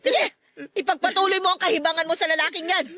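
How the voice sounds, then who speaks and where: A domineering middle-aged Filipino mother in a radio drama. Her voice is very high and sharp, scolding and nagging with scorn. The sound is close and dry. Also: fairly even intonation, fast talker, dry recording. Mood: angry, scornful. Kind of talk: Tagalog radio drama acting.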